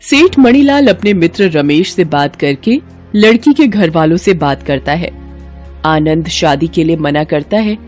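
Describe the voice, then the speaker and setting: A woman's voice: lowish voice, a little mellow. A woman narrating a scripted moral bedtime story as a storyteller.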